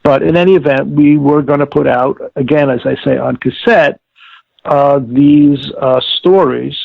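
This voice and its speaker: An older man being interviewed, recalling past work in a halting, deliberate, wordy way and often correcting himself. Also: a little high, fairly even intonation, creaky, no echo. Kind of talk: reminiscing interview. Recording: tinny narrowband call, like old radio.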